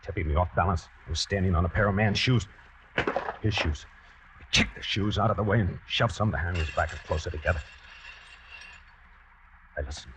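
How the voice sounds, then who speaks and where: A man's voice: sits low, slight background noise. An actor in a 1940s radio suspense drama playing a nervous, desperate man who is driven toward crime by love. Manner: hesitant, stammering, polite in dialogue; tense, measured narrating. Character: nervous, desperate lovestruck male pollster turned would-be killer. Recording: dull old radio recording with music.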